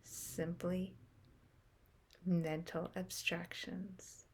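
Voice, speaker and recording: A woman guiding a meditation in a soft, unhurried way, with long pauses between short phrases. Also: lowish voice, level tone, slight background noise. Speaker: meditation guide. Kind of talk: guided meditation.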